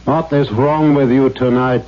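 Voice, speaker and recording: A man's voice: mid-range voice, steady tone, natural voice. An actor playing a cold, domineering, aging father in a 1970s radio drama, stern, formal and suspicious. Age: older. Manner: stern, formal, deliberate, menacing. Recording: dull vintage radio recording.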